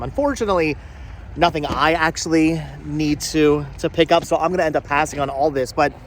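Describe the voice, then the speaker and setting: Male vlog host, fairly high voice, upbeat and chatty, casual walk-around delivery, light outdoor noise behind. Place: outdoor flea market on a cold day. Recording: faint outdoor background noise.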